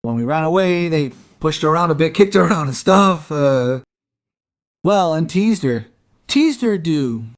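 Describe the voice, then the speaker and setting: An actor playing a defiant, resentful young out-of-work suspect in a crime radio play, sullen and evasive. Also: male voice, a little high, lively intonation, measured pace. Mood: reluctant. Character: defiant, resentful young unemployed working-class male suspect.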